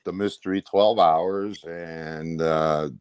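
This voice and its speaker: Guy guest just chatting, low voice, dry sound, blunt folksy way of talking, rambles a bit. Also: steady tone, takes it slowly, a little mellow, clear, resonant tone, dry recording. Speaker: interview guest. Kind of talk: casual chat.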